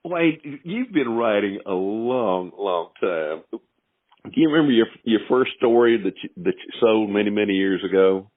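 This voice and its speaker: A man's voice: on the low side, expressive, a little slow, dry recording. An older man hosting a podcast, reminiscing with a guest, laughing often and repeating his words. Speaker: podcast host. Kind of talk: casual interview chat. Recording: dry, narrow phone-like podcast audio.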